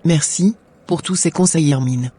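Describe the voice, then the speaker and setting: A voice actor playing husky young churchgoer Nadège. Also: female voice, low-pitched, unhurried, mellow. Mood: grateful. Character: young woman named Nadège, troubled churchgoer.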